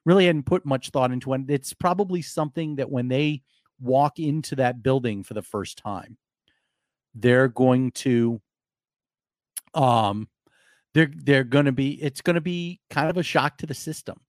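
A hoarse man hosting a live sports podcast, talking casually and rambling with restarts and fillers. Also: mid-range voice, measured pace, dry recording, clean studio sound.

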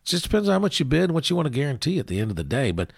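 A man's voice: on the high side, big pitch swings, very fast, vocal fry, slightly rough, dry recording, studio quality. A man co-hosting a sports show in casual, folksy conversation, often trailing off into asides.

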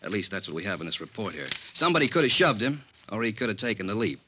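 Brisk American insurance adjuster, 1950s radio play, deepish hard-edged voice, sardonic and pally. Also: male voice, sing-song, bright, forceful tone, slight background noise. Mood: businesslike. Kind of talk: 1950s radio drama acting.

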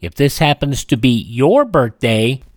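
A man hosting a radio show, reading out a list of dates and names in a halting, deliberate way. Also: medium pitch, big pitch swings, no room sound, clean studio sound. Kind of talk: radio almanac narration.

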